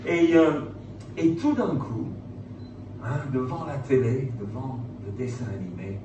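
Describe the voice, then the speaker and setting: A very reverberant, noisy recording of a middle-aged man preaching, picked up away from the microphone. He tells stories theatrically, acting out the dialogue as he goes, in a mid-pitched voice. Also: a little slow, muffled recording. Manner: animated, theatrical retelling with acted-out dialogue. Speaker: preacher, former pastor. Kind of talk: storytelling sermon to a live audience. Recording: very echoey room, distant mic, clear noise.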